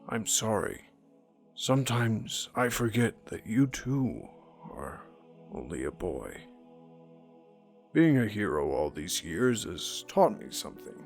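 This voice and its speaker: A man playing a weary, fatherly anime superhero mentor, speaking in an earnest, heartfelt manner. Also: mid-range voice. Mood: sorrowful, gentle. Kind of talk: dramatic anime dub acting.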